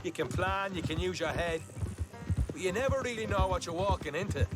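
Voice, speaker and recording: A man's voice: sits high, brisk, natural voice. A male actor playing a scrappy, boastful Irish gang outlaw in a video game cutscene, chatty and self-justifying. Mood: insistent, aggrieved. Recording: loud music behind.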